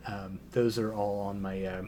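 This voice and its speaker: Hoarse, hesitant man reading poetry. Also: on the low side, little pitch movement, a little slow, mellow, a little hiss or hum. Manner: hesitant, many ums and restarts. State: slightly hoarse voice. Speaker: poetry channel host. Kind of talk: casual intro and poetry reading.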